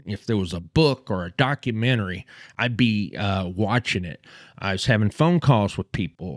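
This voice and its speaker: A man in his fifties with a Texas accent, host of a history channel, tells a personal story. His voice sits on the low side and stops and restarts often. The sound is close and dry, with no room around it. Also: no echo. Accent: Texan. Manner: halting, many fillers and restarts.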